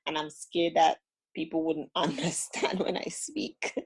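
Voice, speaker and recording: Low gravelly Caribbean woman caller. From the Caribbean islands. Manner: halting and earnest, with many restarts. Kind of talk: casual call-in conversation.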